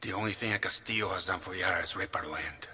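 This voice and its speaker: A defiant old revolutionary in a game, low and very husky. His voice is hard and forceful, full of bitterness, and rings in a long reverb. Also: fast talker, exaggerated voice. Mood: bitter defiance. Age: older. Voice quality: husky, gravelly. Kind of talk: video game cutscene acting.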